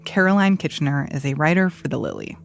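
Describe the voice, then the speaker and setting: Low-voiced woman hosting a podcast. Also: lively intonation, quick pace, gravelly, soft background music. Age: 30s. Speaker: podcast host. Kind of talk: scripted news podcast narration.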